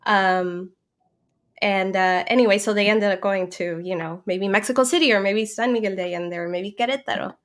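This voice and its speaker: A woman's voice: mid-range voice, normal speed. A woman hosting a casual live question-and-answer interview in a conversational way. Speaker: host.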